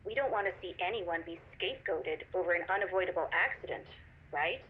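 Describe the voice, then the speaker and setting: An actress playing a cold, controlling corporate boss in a video game, condescending and deliberate, heard over a phone line. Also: female voice, lowish voice, a little hiss or hum. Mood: coolly controlling. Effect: phone call filter. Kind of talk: naturalistic video game acting. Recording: tinny, narrow phone line.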